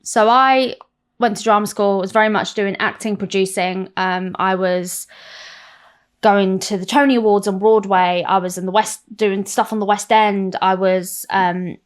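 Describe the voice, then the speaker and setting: An English woman in her thirties talks frankly about her own life as a podcast guest. She tells it with animated, self-mocking energy and swears freely, in a voice that sits in the middle of the range. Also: mid-range voice, unhurried, smooth. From South London. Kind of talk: candid interview chat.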